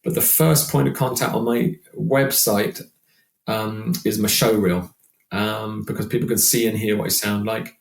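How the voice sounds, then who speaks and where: A man's voice: on the low side, fairly even intonation, a little slow, gravelly. A British man aged sixty chatting casually as an interview guest, rambling and self-deprecating. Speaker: podcast guest, working function singer. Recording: roomy, echoing sound.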